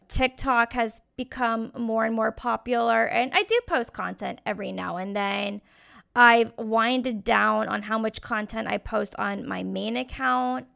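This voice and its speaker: Young woman, podcast host, very gravelly fry, candid and frank, casual solo talk. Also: a little high, fairly bright tone. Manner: candid, frank, frequent "like" fillers.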